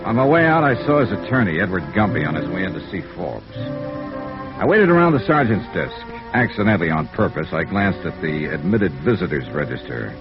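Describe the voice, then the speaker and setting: An old muffled radio drama recording of a hard-boiled, world-weary private investigator. He has some gravel in his voice and a lively, swinging pitch. His lines are clipped and wry, in classic noir fashion. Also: fairly quick. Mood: wry, thoughtful. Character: world-weary, sympathetic freelance insurance investigator, a man. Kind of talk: 1950s radio noir acting, first-person narration. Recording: muffled vintage radio recording, faint music.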